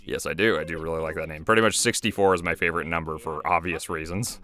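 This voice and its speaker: A man's voice: sits low, fairly even intonation, fast, bright, forceful tone. A young man giving casual, rambling commentary as an animator and voice actor, chatty and easily amused.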